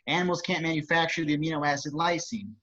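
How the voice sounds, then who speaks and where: Quick-talking frazzled technician, read by a man, dull sound. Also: fairly high pitch, fairly even intonation, a bit bright. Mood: matter-of-fact. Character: frazzled, stubborn male computer technician, exasperated. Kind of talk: amateur screenplay table read.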